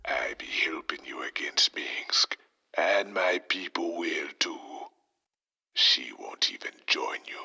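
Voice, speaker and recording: A man playing a brooding, mystic psychic assassin in a video game, with a Caribbean accent and a raspy, breathy voice. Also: deep voice, slow, sharp tone, in a whisper, full character voice. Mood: menacing, urging. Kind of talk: video game cutscene acting. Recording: reverberant space with music underneath.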